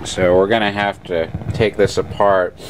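Guy with a lowish voice talking thru a repair demo, matter of fact, bit halting, noisy camera audio. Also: little pitch movement. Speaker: radio repair hobbyist and narrator. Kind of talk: casual hands-on demonstration commentary. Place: indoor electronics workbench. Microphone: handheld camera microphone. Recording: noisy room with radio hiss, handheld camera. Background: test radio noise and hum.

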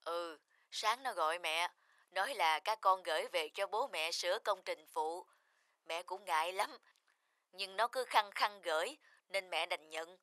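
A woman playing a kindly older mother in a cartoon, earnest and gently advising. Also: lowish voice, measured pace. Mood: earnest, gentle. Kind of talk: cartoon dubbing.

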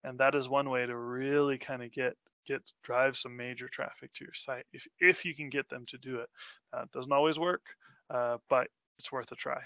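A man's voice: mid-range voice, steady tone, moderate pace, no room sound. Man giving a casual webinar over a tinny line. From Denmark. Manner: casual, rambling, with many fillers and restarts. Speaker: company president presenting a webinar. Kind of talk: unscripted webinar presentation over slides. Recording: tinny phone-like audio, dry.

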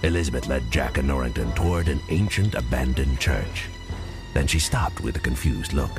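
Super deep gravelly guy narrating an audio drama story, big music behind him. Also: expressive, normal speed. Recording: loud music under the voice.

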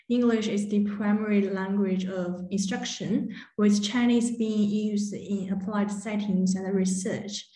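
A woman presenting a university program in a webinar over a video call, pausing often with fillers. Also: mid-range voice, mellow, reverberant, poor audio. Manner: hesitant, many "uh" fillers. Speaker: admissions presenter.

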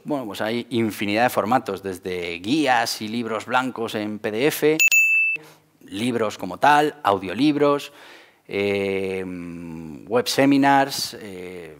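A man talks straight to camera from a studio desk. His voice sits a little low, picked up close on a lapel mic. He moves through the explanation with wide hand gestures and lots of "bueno, pues" fillers. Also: a bit bright. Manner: gestures broadly with both hands, many "bueno, pues" fillers. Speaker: host of a channel on marketing for entrepreneurs. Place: indoor studio set with a porthole backdrop. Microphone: lapel mic, seated at a desk.

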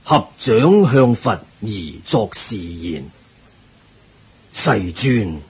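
An actor playing a devout, learned Buddhist monk in a radio drama, measured and earnest. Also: male voice, medium pitch, a little mellow, breathy edge, full character voice. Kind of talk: Cantonese radio drama acting.

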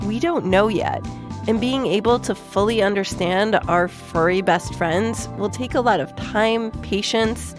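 A woman's voice: mid-range voice, unhurried. A woman podcast host explaining things playfully in scripted narration for a children's science show. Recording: music behind the voice.

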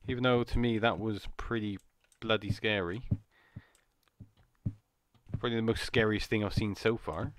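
Croaky man muttering through a horror game. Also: lowish voice, fairly even intonation, moderate pace, long pauses, slight background noise. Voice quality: slightly croaky. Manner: rambling, jumpy, muttering to himself. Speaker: gaming channel host. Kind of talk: casual horror gameplay commentary.

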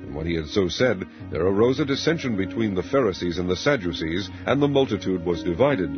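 Male scripture narrator, lowish pitch, grave and deliberate, dramatic reading style; dull lo-fi sound. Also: animated. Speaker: Bible narrator. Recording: dull lo-fi audio with music underneath. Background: background music.

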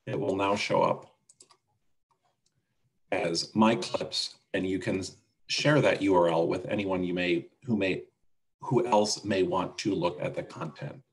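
A man presenting a casual software walkthrough on a video call, explaining things step by step. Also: sits low, steady tone, measured pace. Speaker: webinar presenter demonstrating a website.

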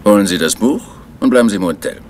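Actor as sly gangster, swooping low voice. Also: male voice, expressive, fast, a little mellow, a little hiss or hum, natural voice. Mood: coolly threatening. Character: sly, menacing male gangster making demands. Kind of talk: 1970s German crime radio play acting.

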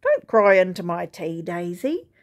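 A woman's voice: on the low side, sing-song, a little slow. Cheery old roving swagman guy, laid back and chatty, woman reading him. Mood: hearty. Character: cheerful bald old male swagman wanderer. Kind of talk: storybook reading.

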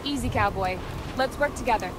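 A woman's voice: a little high, brisk, heavy background noise, natural voice. A young woman playing a serious, determined masked heroine in a video game, firm and focused. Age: young adult. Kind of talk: video game dramatic acting.

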